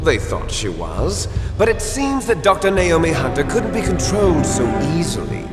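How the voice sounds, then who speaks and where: An actor playing a bitter, gloating villain twin with grand ambitions in a video game, theatrical and taunting. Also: male voice, on the high side, sing-song, moderate pace. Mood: smug, explaining. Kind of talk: dramatic video game voice acting. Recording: echoey hall reverb under loud music.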